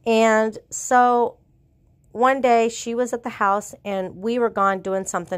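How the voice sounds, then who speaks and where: A woman's voice: medium pitch, no room sound. A middle-aged woman speaks with a stuffy, congested voice from a cold. She tells her story casually and earnestly, wandering and restarting her sentences. The sound is dry and close, with no room around it. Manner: rambling, earnest, with restarts and trailing pauses. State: sick with a cold, congested and sore-throated. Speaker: vlogger.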